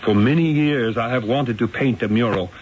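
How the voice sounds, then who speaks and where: An actor playing a wily, eloquent Renaissance painter in a radio drama, speaking in a theatrical, persuasive, rhetorical way. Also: male voice, medium pitch, normal speed, a little hiss or hum, natural voice. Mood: smooth, persuasive. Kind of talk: old-time radio drama acting and first-person narration. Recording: dull lo-fi radio recording, music behind.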